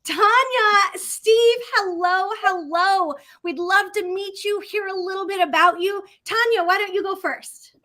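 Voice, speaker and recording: A woman's voice: very high voice, measured pace, pushed and bright. A middle-aged woman hosting an upbeat online session from a home office, talking in an effusive, enthusiastic way over a video call. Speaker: host.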